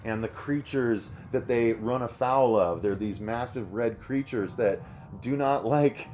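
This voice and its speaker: A man's voice: mid-range voice, unhurried, a little mellow. Man chatting casually over music. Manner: conversational, addresses audience as "you guys". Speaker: co-host of a horror review show. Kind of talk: casual review chat to viewers.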